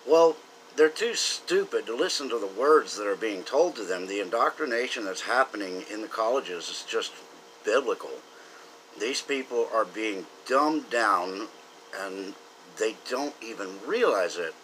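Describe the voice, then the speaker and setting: Bright-voiced American man, opinionated monologue. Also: on the low side, animated, a little slow, a little hiss or hum, poor audio. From southern United States. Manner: earnest, opinionated, emphatic. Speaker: political commentator. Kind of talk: unscripted political monologue to camera.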